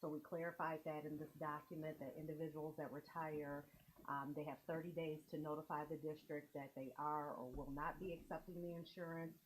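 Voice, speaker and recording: A woman presenting to a school board, explaining contract details in a measured way. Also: sits low, flat delivery, a little hiss or hum, low-quality recording. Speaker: district administrator.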